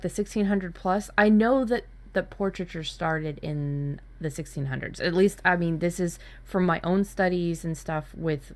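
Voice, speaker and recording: A woman with a slightly low voice co-hosts a writing workshop. She talks in a loose, informal style, recounting her own brainstorming and acting out little asides as she goes.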